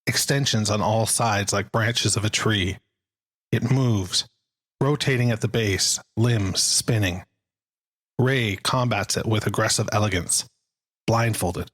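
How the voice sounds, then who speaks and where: Low-pitched man narrating a dramatic script. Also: slow pace, a bit bright.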